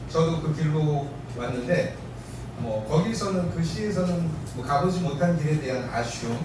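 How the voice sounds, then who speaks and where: A pastor in his fifties preaches loosely and chattily, joking about getting older. His voice carries from far off in a very echoey church hall. Steady hiss sits under it. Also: fairly high pitch, moderate pace. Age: 50s. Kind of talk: sermon at an alumni reunion.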